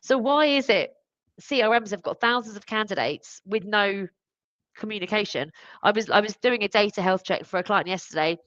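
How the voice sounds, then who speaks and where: Female webinar panelist, mid-pitched, informal and emphatic, dry and slightly dull sound. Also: normal speed, a bit bright, no room sound. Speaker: industry expert panelist. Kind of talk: conversational business webinar discussion. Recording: dull, band-limited online call audio.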